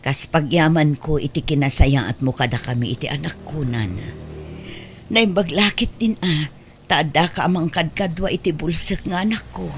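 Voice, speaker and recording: A woman's voice: deep voice, moderate pace. Elderly ailing mother, played by a woman, tender, plaintive, guilt-laden, audio drama with noise and animal sounds behind. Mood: grateful, tender. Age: older. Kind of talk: Ilocano audio drama acting.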